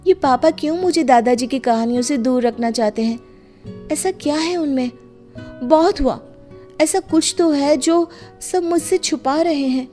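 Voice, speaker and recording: Woman acting a high-pitched, curious young girl. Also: a little slow, dark tone, soft background music. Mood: suspicious, puzzled. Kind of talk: animated story voice acting.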